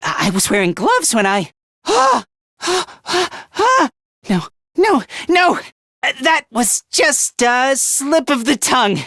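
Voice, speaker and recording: A man's voice: very high voice, big pitch swings, a little slow, sharp tone, no echo, clean studio sound, full character voice. A man voicing a nervous, self-loathing teenage schoolboy in a video game, with a stammering, hesitant and defensive delivery. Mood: panicked. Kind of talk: English video game voice acting.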